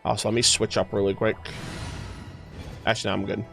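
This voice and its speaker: A man's voice: lowish voice, little pitch movement, a little fast, vocal fry. A man livestreaming a game playthrough, reacting casually with exclamations and reading dialogue aloud with some stumbles. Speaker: game streamer. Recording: game music behind the voice. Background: game music.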